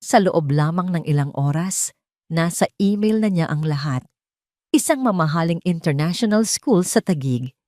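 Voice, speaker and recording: A woman's voice: fairly low pitch, lively intonation, normal speed, warm tone, no room sound, studio quality. A woman narrator reading a scripted romance drama in a dramatic storytelling style.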